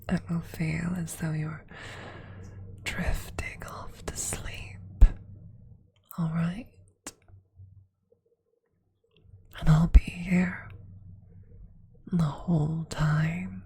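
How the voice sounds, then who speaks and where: A woman voicing a tender, possessive female vampire lover in an ASMR roleplay, speaking in a slow, soothing, intimate breathy whisper with lingering pauses. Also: on the low side, warm tone, exaggerated voice.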